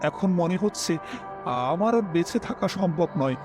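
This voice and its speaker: A man's voice: sits high, lively intonation, a little slow. A man reading a scripted first-person horror story as its narrator. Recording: music behind the voice.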